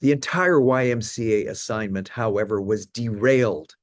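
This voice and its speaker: A man in his seventies with a Canadian accent, giving a measured prepared talk as guest speaker. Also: fairly low pitch, no room sound, clean studio sound. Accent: Canadian English.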